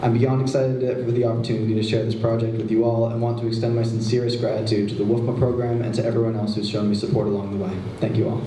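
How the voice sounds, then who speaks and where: A young man in his late teens who gives a prepared statement about his project in a formal, brisk way. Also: medium pitch, flat delivery, warm tone, slight background noise. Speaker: student presenter. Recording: echoey hall from a distant mic.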